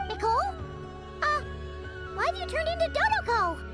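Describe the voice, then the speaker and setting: Squeaky tiny fairy sidekick. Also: female voice, very animated, normal speed. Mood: baffled. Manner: squeaky, exclaiming. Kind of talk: anime game voice acting. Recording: music behind the voice.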